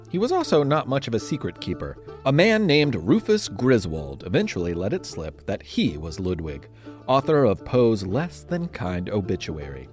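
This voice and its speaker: A man with a fairly low voice and very animated intonation narrates history for young listeners. He sounds conversational and playfully theatrical, like a podcast host telling a story, with music behind him.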